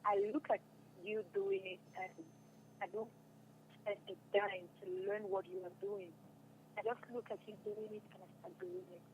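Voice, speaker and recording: A woman's voice: mid-range voice, little pitch movement, normal speed, muffled recording. A young woman answering casually in an interview, repeating phrases for emphasis. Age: young adult. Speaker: guest, stage and radio drama actress. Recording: noticeable background noise.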